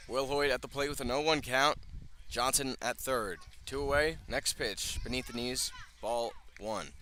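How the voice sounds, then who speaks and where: Bright-voiced male baseball play-by-play announcer. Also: medium pitch, fairly even intonation, a little slow. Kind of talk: live baseball play-by-play commentary. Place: outdoors at a ballpark, field level by the first base line.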